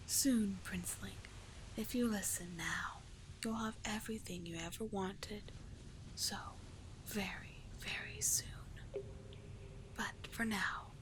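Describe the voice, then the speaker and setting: Seductive sea witch played by a woman, ASMR roleplay. Breathy, hypnotic, unhurried, mid-pitched, over clearly noisy ambience. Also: close to an everyday voice. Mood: hypnotic, possessive, then commanding, hypnotic. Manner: breathy, whispery, coaxing, lingering on words. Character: seductive, doting, manipulative female sea witch of the deep caves. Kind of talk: ASMR roleplay, slow hypnotic sleep-aid delivery.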